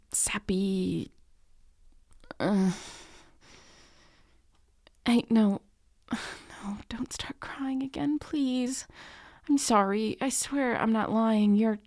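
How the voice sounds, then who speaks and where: Woman acting an unhurried, awkward tutor, close and dry. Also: medium pitch, darkish tone, no echo, natural voice. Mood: awkward, tender. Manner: halting, awkward, sweary, often sighing and dropping to whispers. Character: harsh, snarky young female tutor turned flustered, guilty comforter. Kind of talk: ASMR romance roleplay voice acting.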